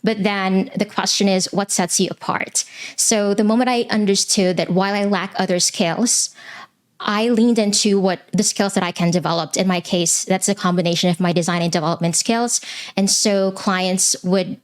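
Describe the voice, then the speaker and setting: A young adult woman from the Philippines, English not her first language, answering interview questions in an earnest, measured way with frequent pauses. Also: medium pitch, steady tone. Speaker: web designer guest.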